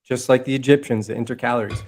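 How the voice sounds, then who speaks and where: A man in a heated podcast conversation, his mid-pitched voice pushing forward with passion. He rambles, restarts sentences and swears casually as he lays out his theories. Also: steady tone, fast, a little mellow. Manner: impassioned, profane, rambling with restarts. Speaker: podcast guest.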